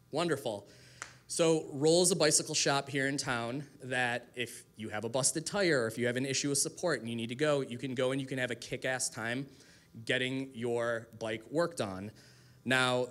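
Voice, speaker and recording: Male guest speaker, medium pitch, casual explanatory delivery for a live informal presentation on a mic. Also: a bit bright. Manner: casual, explanatory, lightly profane. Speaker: guest speaker, digital marketing strategist. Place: live talk to a group in a room. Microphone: event microphone.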